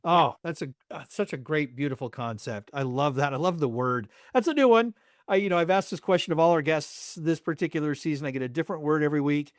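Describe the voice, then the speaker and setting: A man with a fairly high voice speaks as a podcast host. His delivery is conversational and openly appreciative, encouraging the guest, and the recording is very dry with no room echo. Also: quick pace. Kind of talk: interviewing, conversational.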